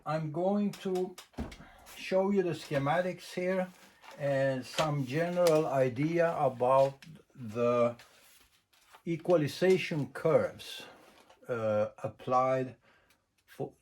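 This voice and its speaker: A man's voice: fairly high pitch, darkish tone, slight background noise. A man who is not a native English speaker gives an informal, unscripted technical explanation with frequent hesitations. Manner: halting, unscripted, with long hesitations. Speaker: presenter explaining audio equipment.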